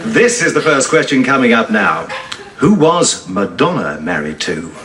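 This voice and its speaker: A man's voice: medium pitch, very animated, unhurried, recorded from a distance, background noise. A man playing a radio phone-in quiz host, with showy, upbeat patter. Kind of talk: sitcom acting.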